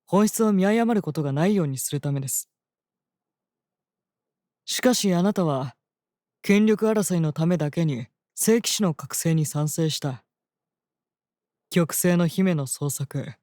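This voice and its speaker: A woman's voice: on the low side, warm tone, no echo, studio quality, close to an everyday voice. A woman playing a stern senior temple seer in a fantasy drama, lecturing in an articulate, cutting manner. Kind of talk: dramatic scripted voice acting.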